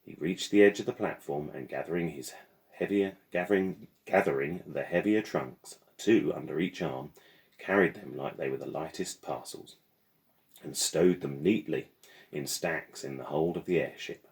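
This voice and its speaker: A man's voice: extremely low pitch, moderate pace, creaky. A man reading a children's novel aloud as the storyteller.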